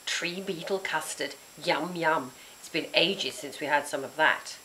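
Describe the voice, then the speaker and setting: A woman voicing a menacing, sharp-tempered old witch aunt in a storybook, imperious and scolding. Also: low-pitched, measured pace, slight background noise, poor audio. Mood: sly, relishing.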